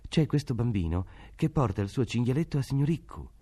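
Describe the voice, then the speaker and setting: A man voicing a brisk, matter-of-fact peasant woman who works as a servant. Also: medium pitch, very animated, dark tone, voice pushed up. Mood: explaining.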